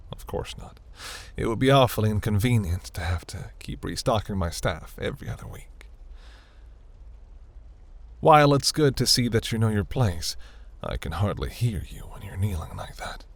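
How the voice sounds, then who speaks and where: Man voicing a deep, gravelly, seductive demon prince. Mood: teasing reassurance, then commanding. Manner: velvety, teasing, grandiose. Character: seductive, imperious demon prince, ageless and flirtatious. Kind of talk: scripted romantic fantasy audio roleplay.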